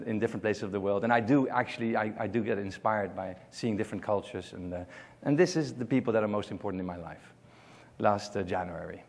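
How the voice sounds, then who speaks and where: A middle-aged man with a Dutch accent giving a joking, self-deprecating conference talk, with frequent ums and uhs. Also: mid-range voice. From Netherlands. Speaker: conference speaker. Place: conference hall stage.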